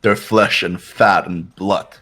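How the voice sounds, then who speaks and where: A man's voice: low voice, fairly even intonation, slow, bright, forceful tone, exaggerated voice. A man voicing a cold, haughty, impatient young intellectual with clipped, imperious delivery in a fan game dub. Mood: grim, cold. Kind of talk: fan playthrough character voice acting.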